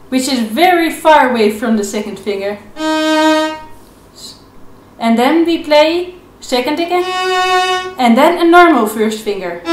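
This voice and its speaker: A young woman with a fairly high voice teaches in an echoey room. She explains informally, step by step, and repeats her key points encouragingly. Some violin is heard around her speech. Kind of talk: instructional video lesson, explaining informally. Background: violin playing between phrases.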